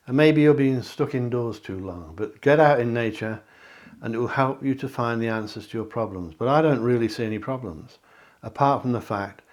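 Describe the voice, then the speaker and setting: Middle-aged male psychic, chatty card-reading host with a slightly hoarse, mid-range voice. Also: medium pitch, a little mellow. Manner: chatty, reassuring, with asides and self-promotion. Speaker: psychic reader. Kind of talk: tarot card reading to camera.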